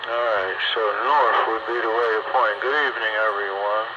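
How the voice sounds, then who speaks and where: A man's voice: on the low side, fairly even intonation, a little fast. A man chatting casually over hobby radio, using folksy radio lingo. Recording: dull, very noisy radio transmission. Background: loud radio noise.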